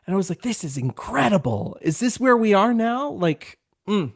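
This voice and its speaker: A man's voice: high voice, sing-song, moderate pace, creaky. A man, a podcast host, gushing with enthusiasm on a video-call panel. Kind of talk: casual panel conversation.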